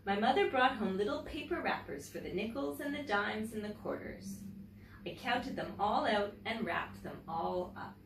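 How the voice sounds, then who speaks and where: A woman teacher reading a picture book aloud to children in an expressive storytelling manner, pausing to comment and ask questions. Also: medium pitch. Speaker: teacher and story-time host. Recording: roomy, distant mic, faint background noise.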